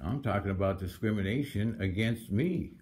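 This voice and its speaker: A man's voice: lowish voice, normal speed, darkish tone, a little hiss or hum. A man arguing his own case at a public hearing, combative and insistent, often repeating himself. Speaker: citizen contesting a code violation at a hearing. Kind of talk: argumentative public hearing testimony.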